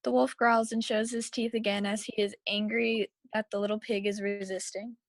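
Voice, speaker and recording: A woman's voice: medium pitch, little pitch movement, normal speed, no room sound, poor audio. A woman reading scripted story action aloud at a table read over a video call. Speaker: reader of action lines. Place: remote video call, close dry sound.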